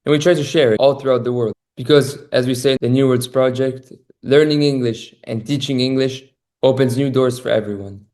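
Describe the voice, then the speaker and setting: A man's voice: medium pitch, fairly even intonation, brisk, darkish tone. A man hosting a video call, chatting casually and often repeating his praise with plenty of fillers.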